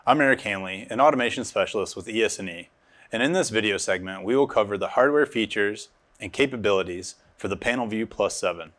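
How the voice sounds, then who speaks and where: A man's voice: lowish voice, fast, a bit bright. A man in his thirties giving scripted instructional narration as a technical specialist, recorded on a lapel mic in a studio. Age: 30s. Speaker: automation specialist presenting a tutorial.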